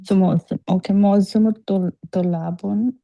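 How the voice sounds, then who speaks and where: A woman student on a video call answering slowly, hesitating and thinking aloud with hums. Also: mid-range voice, steady tone, creaky, warm tone, no echo, lo-fi sound. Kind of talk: online class answers.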